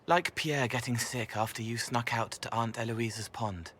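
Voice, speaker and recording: An actor playing a gentle young male spirit in a video game, speaking in halting, dreamlike fragments of poetry. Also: mid-range voice. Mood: earnest, soothing. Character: gentle young male spirit who senses others' pain.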